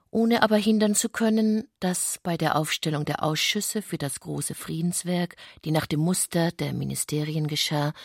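A woman narrating a literary radio play in a measured, precise manner. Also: on the low side, creaky, a little mellow, husky, dry recording, studio quality.

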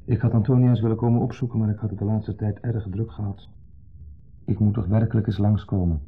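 Wistful divorced man, low husky voice, halting and sentimental, 1970s radio drama on a muffled recording. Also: steady tone. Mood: subdued, earnest. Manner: halting, reflective, speaks in short fragments.

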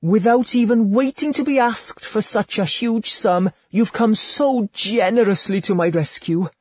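A cunning young woman from an old storybook tale, pleading in a high, theatrical flutter, as a male narrator puts her on. Her voice is breathy and husky, recorded close and dry with no room around it. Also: measured pace, darkish tone, no room sound. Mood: gushing.

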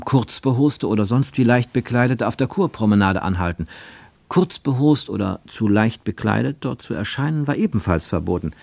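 A man narrating a radio-play travelogue in a measured, expressive storytelling manner. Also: mid-range voice, dry recording.

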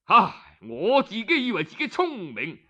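A male storyteller puts on an earnest, deferential court adviser in a Cantonese historical audiobook. The voice is bright and on the high side for a man, speaking persuasively and with respect. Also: huge pitch swings, unhurried, dull audio, full character voice. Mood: sighing admiration. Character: deferential, shrewd male minister advising his lord.

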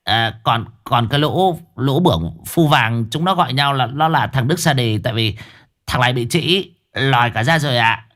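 A man's voice: medium pitch, expressive, moderate pace, a bit bright, lightly acted. A man voicing a brutal, fat henchman who sneers and boasts with a cruel edge. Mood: fawning. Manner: sneering, hissing through teeth, boastful.

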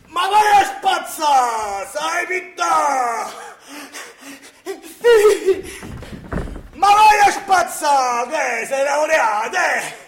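An actor playing an anxious, excitable young Neapolitan worker in a radio drama, rambling and repeating himself as he counts money. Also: extremely high pitch, reverberant, slight background noise, heavily acted. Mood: shouting, bitter. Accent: Neapolitan, southern Italian. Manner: rambling, agitated, repetitive. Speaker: stage actor. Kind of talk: theatrical radio drama monologue and dialogue.

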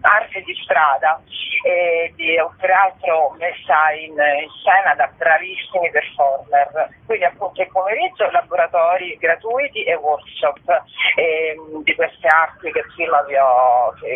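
A woman's voice: lowish voice, breathy edge. A woman giving an interview as an organiser, speaking spontaneously and often searching for words. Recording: muffled lo-fi radio or phone line.

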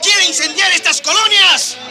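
Very high, outraged colonist man amid crowd. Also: normal speed. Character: outraged, alarmist Spanish colonist in a mob. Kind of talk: radio drama acting. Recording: crowd clamour around the voice.

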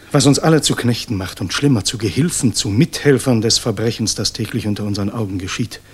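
A grave German resistance saboteur in a vintage radio play. His voice is low and somewhat husky. He speaks in solemn, deliberate phrases with weighty pauses, guilt-ridden yet fervently idealistic. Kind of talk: 1960s German radio drama acting.

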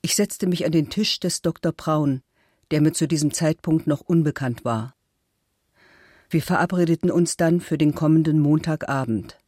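A woman playing an accused middle-aged woman, reading statements and letters for a documentary in a measured, formal, articulate manner. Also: deep voice, a little mellow, dry recording, clean studio sound. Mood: composed, firm. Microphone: close studio microphone.

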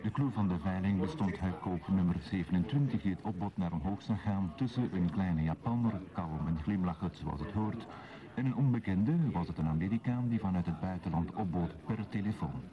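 A man's voice: deep voice, lively intonation, moderate pace. A man narrating in a formal, scripted reporting style for a radio drama. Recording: dull lo-fi sound, crowd murmur.

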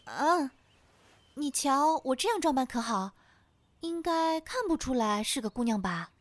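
Woman acting a high-voiced, refined young lady. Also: lively intonation, a little slow, no room sound. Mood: bright, polite. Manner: formal, polite, classical phrasing. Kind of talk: Chinese period audio drama acting. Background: faint music.

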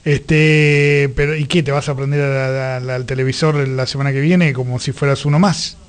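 A man's voice: mid-range voice, little pitch movement, normal speed, clear, resonant tone. An Argentine man hosting a conversational radio interview. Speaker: radio show host.

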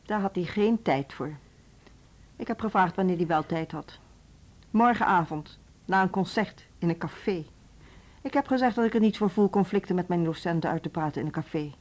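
Actress as a cool, strict school director, lowish voice, curt and businesslike. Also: female voice, moderate pace, a little mellow, natural voice. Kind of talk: radio drama acting.

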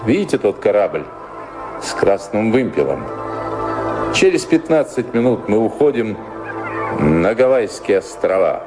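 An actor in a radio drama playing a wistful, brooding man haunted by longing, speaking reflectively with long pauses. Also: on the low side, very animated, music in the background. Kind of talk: theatrical radio drama acting.

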